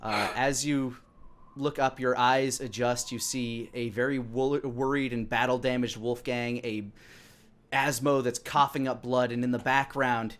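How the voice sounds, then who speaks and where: A man in his thirties runs a tabletop role-playing game as game master. He narrates scenes on the fly in a mid-pitched voice. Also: fairly even intonation, unhurried, fairly bright tone. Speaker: game master and show host.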